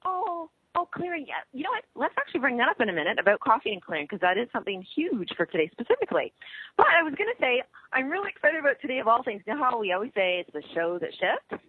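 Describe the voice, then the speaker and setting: Bubbly lady cohost on a tinny phone line, pitch bouncing all over, a bit husky, dry sound. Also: fast talker, no room sound. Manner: bubbly, laughs often, lively pitch swings. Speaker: co-host. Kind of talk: upbeat radio talk show chat.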